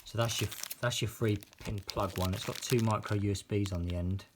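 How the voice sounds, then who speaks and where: A man's voice: lowish voice, fairly even intonation, brisk, background noise. A British man giving a casual, unscripted product demonstration as a reviewer. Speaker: product reviewer filming an unboxing.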